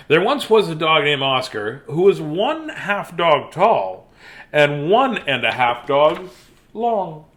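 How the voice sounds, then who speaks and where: A man reading a children's bedtime story theatrically, with dramatic pauses and playful asides. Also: a little high, big pitch swings, fairly bright tone.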